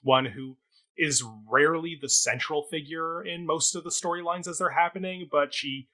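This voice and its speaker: A man's voice: on the high side, fairly even intonation, moderate pace, pushed and bright. A man giving a casual review as a talk show co-host, in a hesitant, rambling, conversational way.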